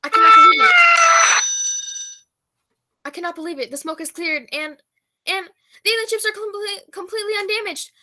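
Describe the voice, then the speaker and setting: A woman's voice: very high voice, normal speed, long pauses, pushed and bright, exaggerated voice. An actress playing a pompous, florid female war correspondent delivering a dramatic report in a radio comedy. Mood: horrified, frantic. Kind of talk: old-time radio news report parody.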